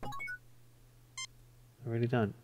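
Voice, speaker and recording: Gamer, male, British. Slow, halting casual commentary, thinking aloud in puzzlement. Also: warm tone, soft background music. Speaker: gamer commentating a let's play.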